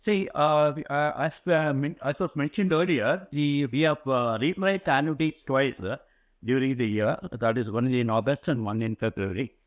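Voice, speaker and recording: Male executive, Indian accent, mid-pitched, halting delivery, answering analysts, dry sound. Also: dry recording. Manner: halting, hesitant phrasing. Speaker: insurance company executive. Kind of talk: answering questions on an earnings call.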